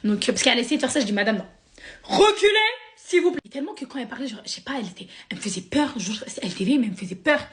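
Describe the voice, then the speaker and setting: A young woman from France telling a sarcastic, animated story straight to her viewers. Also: fairly high pitch, rapid-fire. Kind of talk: casual storytime monologue.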